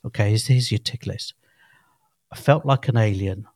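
A man in his fifties with a fairly low voice, chatting loosely as a podcast co-host. He rambles and restarts his sentences often. The recording is dry and close, with no room sound. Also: moderate pace, a little mellow, no room sound. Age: 50s. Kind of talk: casual chat.

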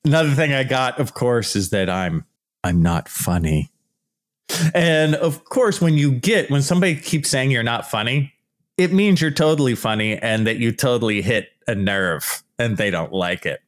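A man with a naturally loud, shouty voice, ranting casually and animatedly in a vlog about archaeology comments. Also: mid-range voice, expressive, moderate pace. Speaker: archaeology YouTuber.

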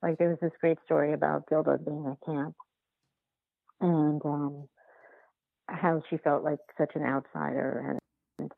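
A woman's voice: sits low, vocal fry, dry recording. A woman talking casually as an interview guest, often restarting and repeating her words as she explains her filmmaking. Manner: halting, repetitive, self-restarting storytelling. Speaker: podcast guest, documentary filmmaker. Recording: tinny, phone-like line.

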